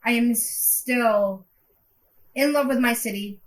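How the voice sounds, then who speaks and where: A woman in her thirties from Pakistan co-hosting a casual podcast, talking in a frank, relaxed way with some hesitation. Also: on the high side, slow. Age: 30s. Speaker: podcast co-host.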